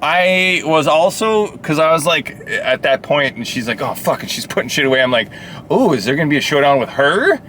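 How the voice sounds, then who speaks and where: A man with a slightly high, bright voice talks through a movie review in a car. His delivery is casual and swoops up and down, full of swearing and half-finished thoughts, with faint vehicle noise behind him. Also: expressive, fairly quick. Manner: profane, repeats and trails off mid-thought. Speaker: co-host of a movie review vlog. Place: small interior of a car.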